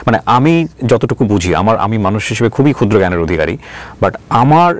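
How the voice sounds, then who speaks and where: A man's voice: on the low side, big pitch swings, fairly quick. A man with a Bangladeshi accent hosting an interview, pressing his guest with probing, challenging questions and mixing in English words. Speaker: talk show host and interviewer.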